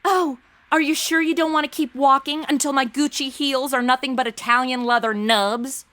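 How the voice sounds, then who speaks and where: A woman's voice: high-pitched, fairly even intonation, normal speed, pushed and bright. A woman playing a brash, ditzy, sarcastic modern young woman in a comedic audio drama, with slangy, theatrical delivery. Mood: sarcastic, flippant.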